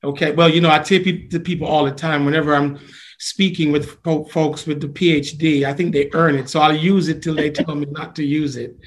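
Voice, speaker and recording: A man hosts a podcast in a formal, polite way, with a voice a bit higher than most men's. He sometimes trips over his words as he sets up his questions. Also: steady tone, brisk. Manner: formal, courteous, stumbles on words. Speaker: podcast host. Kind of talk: podcast interview.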